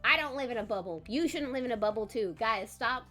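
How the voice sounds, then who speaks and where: A woman hosting a live stream in casual chat, congested and recovering her voice, chatty and quick to laugh. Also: a little high, lively intonation, bright, forceful tone, faint music behind. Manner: chatty, laughs often, self-deprecating. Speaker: streamer host.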